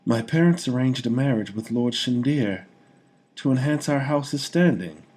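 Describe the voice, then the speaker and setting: A man's voice: medium pitch, expressive, unhurried, darkish tone, pitched above the performer's own voice. A man voicing a young noblewoman in a fantasy story, a devoted lover who speaks earnestly and gushes with hope. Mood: hopeful pleading. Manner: gushing, earnest, full of hope.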